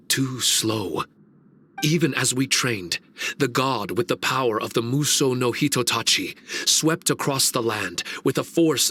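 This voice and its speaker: Brief: a reflective shikigami servant in a game, measured and very slow, in a clear, bright voice. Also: male voice, medium pitch, a little hiss or hum. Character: solemn, wistful male shikigami servant. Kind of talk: game cutscene voice acting.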